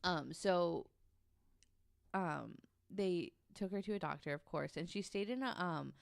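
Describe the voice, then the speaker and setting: A woman's voice: lowish voice, animated, measured pace, vocal fry, no echo, clean studio sound. A woman telling a researched story on a podcast in a casual, conversational way, with an informal, hesitant delivery.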